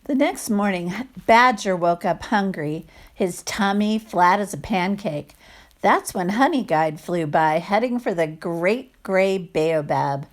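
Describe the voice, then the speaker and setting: A woman reading a picture book aloud to children, expressive, with chatty asides and questions to listeners. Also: lowish voice, slow pace. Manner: expressive, acts out sound words, asks listeners questions. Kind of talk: animated read-aloud storytelling with chatty asides.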